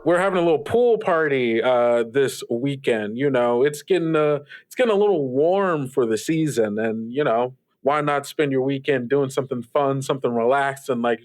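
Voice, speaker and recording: A man plays a reflective late-night radio DJ in an audio drama. He talks at a middling pitch in a halting, rambling way, thinking out loud with plenty of filler words. The manner is laid-back and a little wistful. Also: lightly acted. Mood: relaxed, chatty. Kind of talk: improvised radio host monologue in a fiction podcast.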